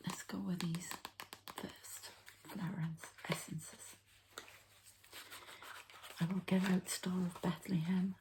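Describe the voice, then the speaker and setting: A woman's voice: lowish voice, gravelly, low-quality recording. A woman whispering breathily in an ASMR style, explaining things informally step by step with some hesitation. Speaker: ASMR host and energy healer.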